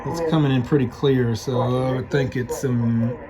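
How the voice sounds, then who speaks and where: A man's voice: medium pitch, fairly even intonation, normal speed, a loud crowd, rough recording. A man giving casual running commentary as a radio hobbyist, thinking aloud as he tunes. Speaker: shortwave radio hobbyist and host. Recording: loud radio static and hiss. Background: shortwave radio noise and signals.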